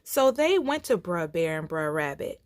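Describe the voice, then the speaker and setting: A woman reading a storybook aloud to children with expressive, folksy, dramatic phrasing. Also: lowish voice, moderate pace, dry recording, clean studio sound.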